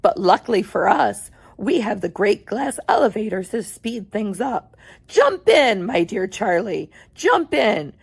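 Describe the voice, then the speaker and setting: A woman's voice: medium pitch, sing-song, a little slow, creaky, slightly rough, full character voice. A woman voicing an eccentric, cheerful man who owns a chocolate factory, talking in a bouncy, theatrical way. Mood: gleeful.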